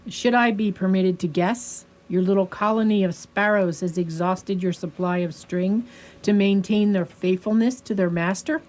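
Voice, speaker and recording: Woman playing a tired sad asylum doctor in a radio play, measured and educated, medium pitch. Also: darkish tone, close to an everyday voice, rough recording. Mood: measured, probing. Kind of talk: radio drama acting.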